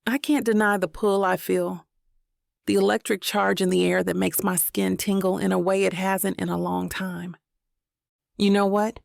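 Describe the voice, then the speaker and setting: A woman's voice: medium pitch, little pitch movement, moderate pace, vocal fry, dry recording, studio quality. A woman reading scripted first-person romance fiction as an audiobook narrator.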